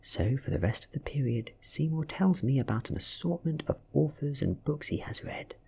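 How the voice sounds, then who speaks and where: A man with a British accent giving a soft-spoken, breathy and hushed ASMR reading of a story, delivered dramatically. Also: a little high, expressive, moderate pace. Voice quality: breathy, whispery. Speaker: audiobook reader.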